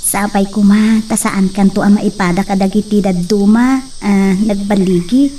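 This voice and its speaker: A young woman with an Ilocano accent playing a gentle, hopeful village sweetheart. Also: medium pitch, fairly even intonation, normal speed, close to an everyday voice. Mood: pleading. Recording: distant mic with faint noise. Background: crickets and insects.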